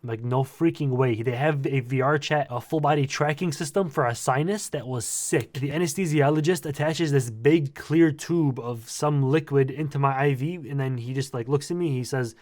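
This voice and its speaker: A young man with a stuffed-up, nasal voice tells his story in a loose, animated vlog style, full of slang. His voice sits at a middle pitch. The sound is dry and close. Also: moderate pace, few pauses, no room sound. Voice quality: nasal, congested. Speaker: vlogger.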